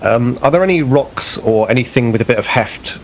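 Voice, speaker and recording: A man's voice: medium pitch, lively intonation, fairly quick, a little hiss or hum. A man taking part as a player in a tabletop game, thinking aloud and asking questions. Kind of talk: casual tabletop play.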